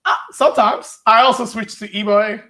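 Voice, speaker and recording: A man's voice: high-pitched, steady tone, moderate pace, pushed and bright. A young adult man from Nigeria, co-hosting a youth group chat in a playful, hesitant way with many restarts. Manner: hesitant, playful, self-deprecating, frequent restarts. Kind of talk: casual chat, playful game of two truths and a lie.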